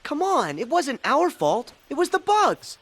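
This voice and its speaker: A man's voice: extremely high pitch, huge pitch swings, measured pace, a bit bright, full character voice. A man playing a brave, good-hearted teenage boy hero in a video game, eager and plucky. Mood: protesting. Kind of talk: animated game voice acting.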